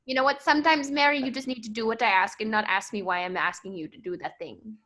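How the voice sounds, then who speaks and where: A woman's voice: on the high side, fast, fairly bright tone, slight background noise, natural voice. A woman playing an exasperated, bossy female shop owner, emphatic and imperious, in an improvised comedy sketch.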